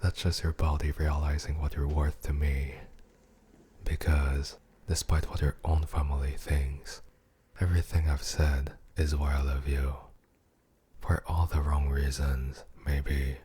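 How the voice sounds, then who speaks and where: Man acting very deep, husky mafia boss. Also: little pitch movement, dark tone, full character voice. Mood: possessive, seductive. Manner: slow-burning, condescending, intimate murmur. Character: possessive, menacing male mafia boss, seductive captor. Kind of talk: ASMR roleplay monologue.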